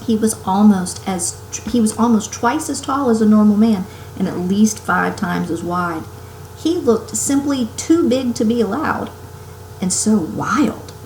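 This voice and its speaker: Woman, medium pitch, expressive storybook narration, echoey room, faint background noise. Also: unhurried. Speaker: storyteller reading aloud. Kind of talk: expressive children's book read-aloud. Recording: roomy home recording with faint noise.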